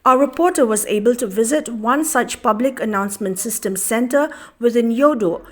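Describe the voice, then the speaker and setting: A woman's voice: fairly high pitch, normal speed. A woman with a Northeast Indian accent reading a scripted news voice-over. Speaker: news narrator.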